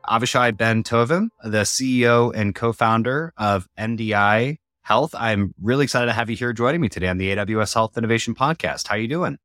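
A man's voice: medium pitch, brisk, few pauses, a bit bright, no echo, clean studio sound. A man hosting an interview podcast, friendly and fumbling a little with restarts as he asks questions. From Colorado. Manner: friendly, searching for words with restarts. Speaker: podcast host.

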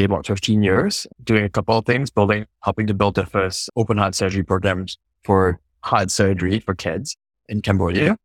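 A man from Singapore, a founder being interviewed, telling his story in a measured, reflective way. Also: fairly low pitch, dry recording. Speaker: founder guest.

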